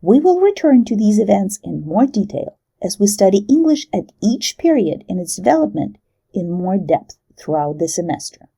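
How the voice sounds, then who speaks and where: Gravelly, husky woman lecturing. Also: medium pitch, big pitch swings, moderate pace, mellow. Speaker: university lecturer. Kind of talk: recorded lecture narration.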